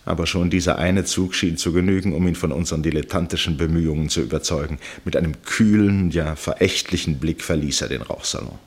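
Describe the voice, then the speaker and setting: A cultivated gentleman narrating a radio drama. His voice sits very low with a husky grain. He speaks in measured phrases, with dry irony and an air of detachment. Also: steady tone. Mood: wry, measured. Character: wry, detached gentleman narrator and amateur chess player. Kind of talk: German radio play narration and dialogue.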